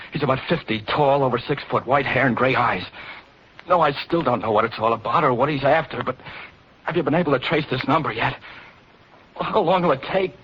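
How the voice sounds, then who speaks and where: A man's voice: medium pitch, lightly acted. A man playing a tough, indignant kidnapped war veteran in 1940s radio drama, with an American accent and blunt, slangy, urgent speech. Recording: dull, noisy vintage radio recording.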